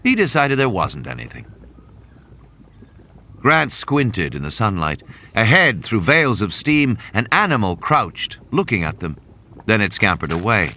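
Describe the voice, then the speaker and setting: A man's voice: fairly low pitch, big pitch swings, unhurried, sharp tone. A man giving scripted narration of an adventure audiobook. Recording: sound effects and vehicle ambience behind. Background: vehicle and ambient sound effects.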